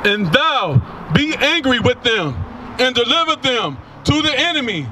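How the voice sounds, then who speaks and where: High, bright man reading scripture slowly. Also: big pitch swings, far from the mic, slight background noise, poor audio. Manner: slow, deliberate reading. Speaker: scripture reader. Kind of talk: slow Bible reading aloud. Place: outdoors by a street.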